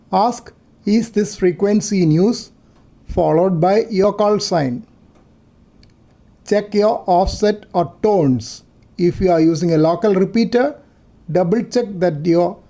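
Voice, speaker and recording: A man narrates a scripted tutorial. His voice sits notably high for a man, yet its tone is dark and mellow. He presents the material as an instructor guiding beginners. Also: gravelly. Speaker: amateur radio instructor.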